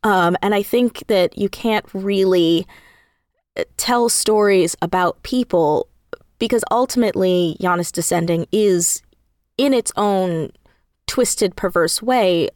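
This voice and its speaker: A woman speaking casually as an interview guest, telling things in an animated, emphatic, self-deprecating way. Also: mid-range voice, slow pace, no echo, studio quality. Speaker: interview guest, audio drama writer.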